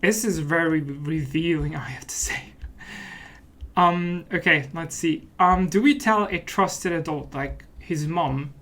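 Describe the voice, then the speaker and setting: A man's voice: on the high side, a little slow, fairly bright tone. A man talks casually through a game as he plays it. His delivery is playful and often mock-serious, in the style of a let's play host. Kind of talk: casual gameplay commentary.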